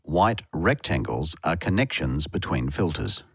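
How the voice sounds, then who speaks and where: Male tutorial narrator, low pitch with lively rises and falls, scripted and explanatory. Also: measured pace, vocal fry, husky, no echo, studio quality.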